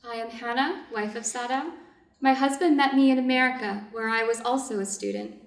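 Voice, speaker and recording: A woman's voice: on the high side, moderate pace, gravelly. A woman reading the part of a Japanese surgeon's wife in a staged story, proper and practical, with formal, careful phrasing. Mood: composed. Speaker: reader's theater performer. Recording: echoey room, distant microphone.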